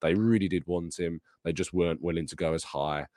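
A man with a raspy, hoarse voice from a sore throat, hosting a live show and casually answering chat questions. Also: sits low, fairly even intonation, fairly quick, a little mellow, dry recording, clean studio sound. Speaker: podcast host. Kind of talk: live transfer news and chat Q&A.

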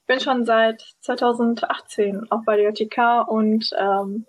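A woman's voice: a little high, steady tone, a little slow, dry recording. A woman in her twenties talking casually on a podcast, thoughtful, with many fillers. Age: 20s. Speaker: podcast guest. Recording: dull, dry lo-fi sound.

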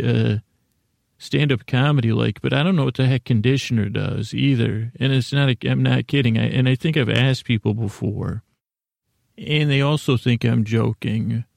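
A man hosting a sleep podcast, delivering a meandering, halting bedtime monologue full of self-interruptions and deadpan, gently silly tangents. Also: medium pitch, creaky, no room sound. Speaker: podcast host.

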